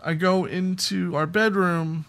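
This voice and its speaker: Higher-pitched man chatting casually. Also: steady tone, slow, fairly bright tone. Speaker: podcast co-host. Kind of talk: casual chat, telling personal anecdotes.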